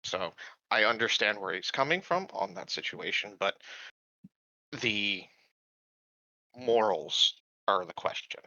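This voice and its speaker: A man playing a tabletop roleplaying game, talking casually and haltingly as he thinks aloud. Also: on the low side, lively intonation, bright, forceful tone, no echo, dull audio.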